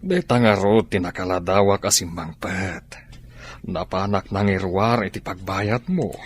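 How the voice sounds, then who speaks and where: A man's voice: low voice, a little slow, breathy edge. A man playing a domineering middle-aged sugar daddy in a radio drama, callous, scornful and emphatic. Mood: soothing.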